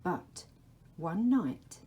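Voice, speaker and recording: A woman reading a children's storybook aloud as a teacher, with measured, gentle storytelling. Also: on the low side, sing-song. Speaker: primary school teacher.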